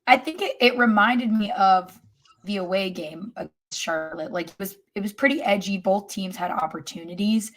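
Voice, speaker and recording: A woman's voice: medium pitch, moderate pace. A woman on a soccer podcast giving casual match analysis in conversational talk.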